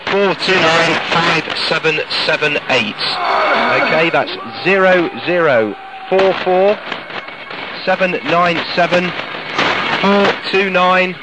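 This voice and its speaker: A man's voice: high voice, slow, heavy background noise. A British man presenting live radio in a chatty, informal, ad-libbed way, broadcast outdoors over shortwave. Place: outdoors in the countryside. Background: loud music.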